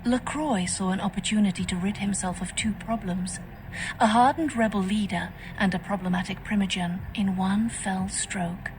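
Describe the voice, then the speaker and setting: A woman's voice: medium pitch, darkish tone, a little hiss or hum, close to an everyday voice. An actress playing a composed, scheming female vampire faction leader in a video game, speaking formally. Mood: composed, confiding. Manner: formal, measured, stately. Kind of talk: video game dialogue acting. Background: music.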